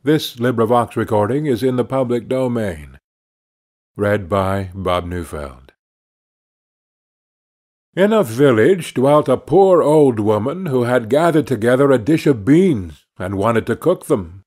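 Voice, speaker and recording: Male audiobook narrator for children's tales: slow, animated intonation, slightly husky voice. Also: mid-range voice. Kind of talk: scripted children's storybook narration.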